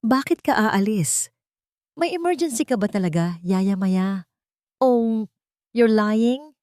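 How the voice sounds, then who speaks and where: A woman's voice: fairly high pitch, lively intonation, slow pace, a little mellow, no room sound, pitched above the performer's own voice. Audio drama role: suspicious rich dad, defensive and guilt-ridden, read by a woman, close dry sound. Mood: suspicious, accusing. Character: guilty, defensive wealthy young father, suspicious. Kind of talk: dramatic audio story dialogue.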